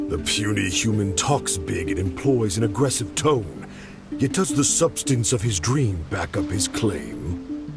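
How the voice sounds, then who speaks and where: An actor playing a lofty, disdainful dragon in a game, speaking in a pompous, formal, gravelly way. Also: male voice, lowish voice, big pitch swings, over loud music. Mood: haughty disdain. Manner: pompous, deliberate, formal diction. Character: lofty, disdainful enlightened dragon, male, theatrically grand. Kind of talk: theatrical stage-play game acting.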